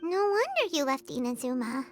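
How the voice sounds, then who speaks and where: Tiny fairy sidekick, very high squeaky voice, wildly animated, eager, naive, video game dialogue. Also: female voice, moderate pace, faint music behind. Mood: curious, supportive. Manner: exclaiming, refers to self in third person. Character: small, childlike, excitable female fairy companion, eager and naive.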